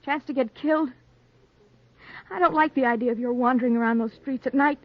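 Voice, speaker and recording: An actress playing a reporter's worried, affectionate young fiancee, earnest and tender, in a 1940s radio drama. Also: female voice, high-pitched, a little fast, natural voice. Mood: worried pleading. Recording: muffled vintage radio recording.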